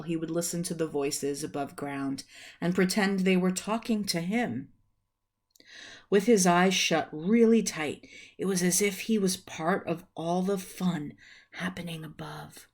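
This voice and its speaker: Female storyteller, thirties, lowish voice, very unhurried children's read-aloud, recorded at home on a webcam. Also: a little mellow. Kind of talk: reading a children's book aloud. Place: small room at home, indoors.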